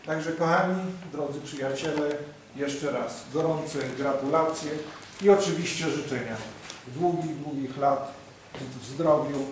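A man's voice: on the high side, steady tone. Echoey, solemn man giving a speech. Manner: solemn, deliberate with repetitions. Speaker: town mayor. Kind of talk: ceremonial speech to an audience. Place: large hall at a public ceremony. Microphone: distant camera microphone. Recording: echoey hall from far off, noisy.

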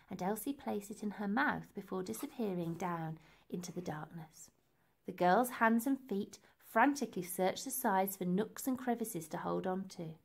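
A woman's voice: medium pitch, vocal fry, darkish tone. A woman reading a children's story aloud as narrator, with dramatic, emphatic phrasing. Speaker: storybook reader.

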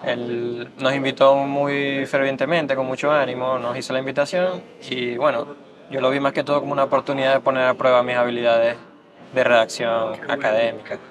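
A man in his twenties from Venezuela, a university student answering interview questions in a measured way. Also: mid-range voice, little pitch movement, a crowd in the background. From born in Caracas, raised in Zulia, Venezuela. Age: 20s. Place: bright room at a dining table. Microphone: lapel mic.

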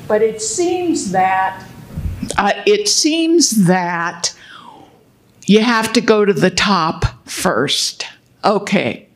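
Audience woman, very slow and emphatic, frustrated. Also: mid-range voice. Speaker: audience member. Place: university lecture hall. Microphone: handheld mic.

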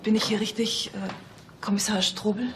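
An actress playing a nervous married woman in a radio play, speaking haltingly and hesitantly. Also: medium pitch, level tone, background noise, lightly acted. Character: nervous, hesitant architect's wife insisting on discretion. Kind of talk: German radio play acting.

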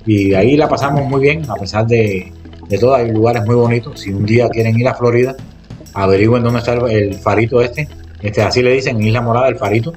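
A man's voice: mid-range voice, brisk. A man narrating his own diving and spearfishing footage in a casual voice-over, rambling and speaking straight to his viewers. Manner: rambling, restarts often, addresses viewers directly. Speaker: spearfishing vlogger. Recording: voice-over with loud background music.

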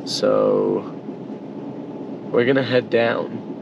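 A man's voice: on the low side, fairly even intonation, drawn-out words, creaky, highs cut off, background noise. A young man bantering casually on camera inside a small camper van, laid-back and joking, with music underneath. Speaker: van life vlogger. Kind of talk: casual vlog chat. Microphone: handheld action camera at arm's length.

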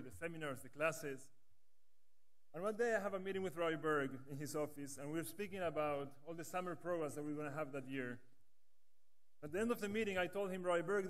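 Rabbi speaking at a podium. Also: male voice, on the high side. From Latin America. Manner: earnest storytelling with gestures. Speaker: rabbi, branch director. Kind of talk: conference speech. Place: large conference hall stage. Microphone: podium microphone.

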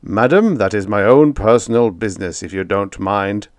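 A man voicing a secretive, nervous male vampire lodger who talks in a loud, clipped, guarded way. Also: on the low side, expressive, slow pace, dry recording, studio quality.

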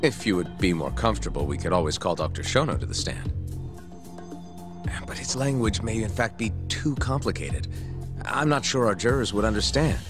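An actor playing an earnest, composed prosecutor in his thirties in a video game, speaking in a measured, formal way. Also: fairly low pitch, animated, lightly acted. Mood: polite, calculating. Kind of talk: video game cutscene acting. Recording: music behind the voice.

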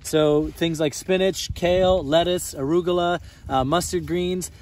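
A man's voice: a little high, steady tone, a little slow, a little mellow. A young man, a farmer, giving an earnest on-site tour outdoors on a snowy field, explaining with frequent fillers. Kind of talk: on-site farm tour interview.

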